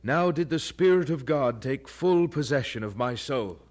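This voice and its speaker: An actor playing a devout, stubborn young student, speaking earnestly and respectfully in a radio drama. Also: male voice, medium pitch, animated, moderate pace, close to an everyday voice. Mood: fervent.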